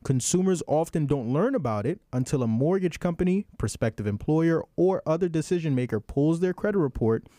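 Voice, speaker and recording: A man's voice: fairly high pitch, animated, fairly quick, few pauses, mellow, dry recording, studio quality. A man hosting a casual chat in an easygoing way, sometimes reading legal text aloud. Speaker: podcast host.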